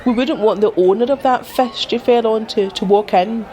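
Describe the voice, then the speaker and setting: An actress playing a teasing, sharp-tongued female diner waitress in a radio drama. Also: fairly high pitch, moderate pace, lightly acted. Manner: teasing, cutting.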